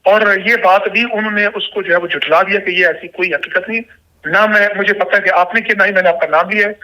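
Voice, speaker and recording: A man's voice: sits high, steady tone, fairly quick, bright, forceful tone, low-quality recording. A Pakistani man giving his side as an interview guest, speaking insistently and emphatically and often repeating his phrases. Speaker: interview guest, journalist and vlogger.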